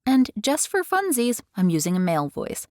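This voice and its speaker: Lively American woman explaining. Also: on the high side, moderate pace, no echo, clean studio sound. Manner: chatty, animated, curious. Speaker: tutorial host. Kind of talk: casual explainer. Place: carpeted bedroom. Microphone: headset mic.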